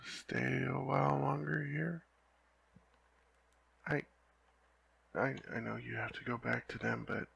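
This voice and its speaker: A young man playing a lovesick, flustered knight in an ASMR roleplay, talking softly and haltingly with frequent stammers. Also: medium pitch, gravelly, breathy edge, heavily acted. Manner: halting, stammering, soft-spoken.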